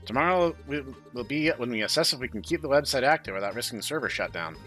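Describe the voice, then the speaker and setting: Bright, animated man reading game dialogue. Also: medium pitch, quick pace, soft background music. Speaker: gaming channel host. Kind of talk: reading visual novel dialogue aloud, playthrough commentary.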